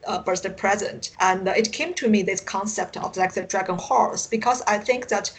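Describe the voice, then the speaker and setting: Halting Chinese-accented woman in conversation. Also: medium pitch. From China. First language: Mandarin Chinese. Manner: hesitant, halting, often chuckling. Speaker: guest author. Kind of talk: casual interview conversation. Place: Skype internet call.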